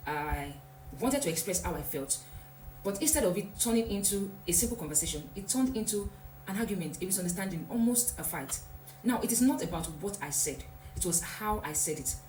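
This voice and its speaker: A woman's voice: mid-range voice, lively intonation, fairly quick, darkish tone. A woman giving an earnest, reflective motivational talk and repeating points for emphasis. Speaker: vlogger. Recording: echoey room, faint hum.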